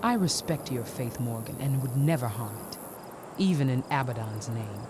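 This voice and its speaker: Ruthless commanding ruler, imperious, measured formal speech, game cutscene, clear background noise. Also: female voice, very deep voice, animated. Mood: calculating. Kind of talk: video game cutscene acting.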